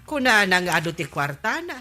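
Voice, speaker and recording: A woman's voice: on the low side, very animated, brisk, pushed and bright, faint music behind. A woman acting a sensible, gossiping Filipino village wife who complains dryly in a comedy radio drama. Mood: gossipy.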